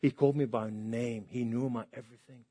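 Male preacher from Lebanon, mid-pitched voice, fervent testimony sermon, big swings in volume. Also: fairly even intonation, fairly quick, husky. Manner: impassioned, swings between loud and hushed.

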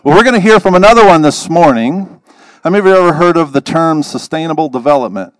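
A man's voice: on the high side, animated, fast, no echo, low-quality recording. A man, the pastor, introducing a guest speaker to his congregation in a folksy, conversational way, putting questions to the crowd.

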